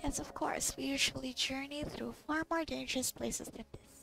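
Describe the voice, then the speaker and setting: A woman playing a video game and commenting casually, excitable, with muttered asides to herself. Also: high voice, normal speed, gravelly. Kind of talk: casual gameplay commentary, reading dialogue choices aloud. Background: loud game music.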